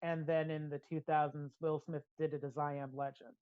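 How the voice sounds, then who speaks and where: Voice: mid-range voice, little pitch movement, normal speed, warm tone, clear, resonant tone, dry recording. A podcast co-host chatting casually, explaining details with enthusiasm, on slightly dull, lo-fi audio.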